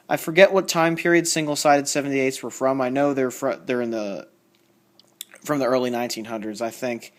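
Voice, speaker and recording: A man talks in a medium-pitched voice, casually and without a script. He rambles a little, thinking aloud and reading labels out as a collector showing off finds would. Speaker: record collector showing a haul. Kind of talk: casual unscripted show-and-tell commentary.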